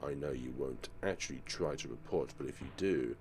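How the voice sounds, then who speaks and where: A man playing a menacing, obsessive male teacher in a visual novel, speaking in a hushed, coaxing and threatening way. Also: extremely low pitch, a little fast, a little hiss or hum, pitched below the performer's own voice.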